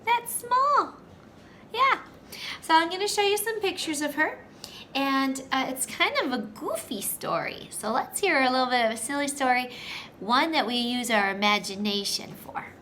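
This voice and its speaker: A woman teacher reading a story aloud to young children, with simple, deliberate phrasing and questions for her listeners. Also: high-pitched, animated, bright, forceful tone, a little hiss or hum.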